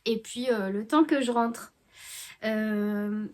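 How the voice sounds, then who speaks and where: A woman with a fairly high voice, stuffy and congested from a head cold. She talks to her viewers in a relaxed, hesitant way, stopping and restarting with plenty of fillers as she chats about her knitting at home. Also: slow pace. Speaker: knitting vlogger. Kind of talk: casual chat to camera.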